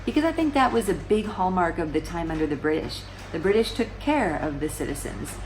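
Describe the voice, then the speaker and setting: A woman's voice: on the low side, lively intonation, a little fast, darkish tone, a little hiss or hum, poor audio. A woman in her forties explaining current events to camera in a casual, conversational way, often restarting sentences and asking rhetorical questions. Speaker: vlogger. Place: small room indoors, seated on a sofa.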